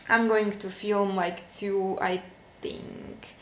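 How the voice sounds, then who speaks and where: A woman's voice: mid-range voice, flat delivery, slow pace, roomy sound. Slovak-accented young woman vlogging. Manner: earnest, venting, fillers like "like" and "um". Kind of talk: casual talk to camera.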